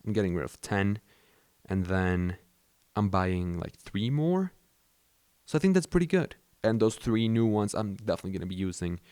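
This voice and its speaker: A man's voice: lowish voice, sing-song, normal speed, darkish tone, clean tone, no room sound. A young man from Mexico whose first language is Spanish, rambling casually about his camera gear with many fillers and restarts. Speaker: vlogger.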